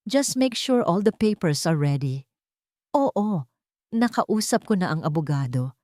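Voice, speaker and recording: A woman's voice: lowish voice, very animated, measured pace, mellow, no room sound. A woman voicing a cold, businesslike wealthy mother who speaks in a cool, clipped, firm way. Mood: low, firm.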